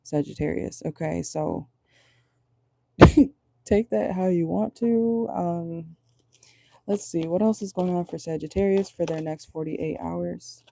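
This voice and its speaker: A woman with a low voice giving a casual tarot reading from home, chatty and rambling, often checking in with her viewers. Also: takes it slowly, creaky, long pauses, dark tone, no echo. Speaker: tarot reader. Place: at home indoors at night.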